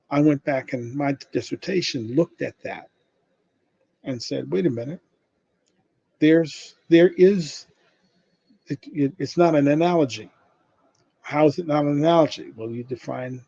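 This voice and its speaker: An older man speaking as a professor taking questions after a presentation, explaining informally and haltingly with many repeated starts. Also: mid-range voice, warm tone, husky, no room sound. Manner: halting, with frequent repeated starts and self-corrections. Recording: muffled, dull lo-fi audio.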